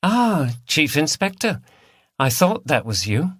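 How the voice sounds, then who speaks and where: A man's voice: on the high side, very animated, slow pace, fairly bright tone, husky, no room sound, clean studio sound, pitched above the performer's own voice, heavily acted. A man voicing an earnest, outspoken woman activist in an audiobook, with a frank manner. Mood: friendly, grateful. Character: earnest, feisty, outspoken female countryside activist. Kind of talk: audiobook character dialogue.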